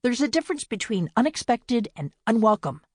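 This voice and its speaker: A woman's voice: medium pitch, very animated, a little fast, breathy edge, no room sound, clean studio sound, voice pushed up. A woman doing a defiant, mocking older sister. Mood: defiant, bitter. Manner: defiant, mocking, sharp-tongued.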